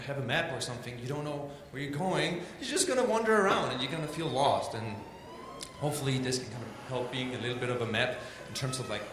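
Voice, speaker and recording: Danish-accented man in an echoey hall. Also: mid-range voice. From Denmark. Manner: loose and chatty, many "like" and "um" fillers, self-deprecating. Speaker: illustrator presenting a talk. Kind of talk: informal presentation. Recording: echoey hall with steady background noise.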